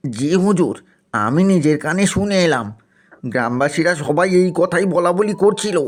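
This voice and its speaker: A man as an anxious, higher-pitched servant. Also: expressive, unhurried. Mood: gossiping, deferential. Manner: deferential, urgent. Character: dutiful, anxious male servant of a landlord. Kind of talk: cartoon story voice acting.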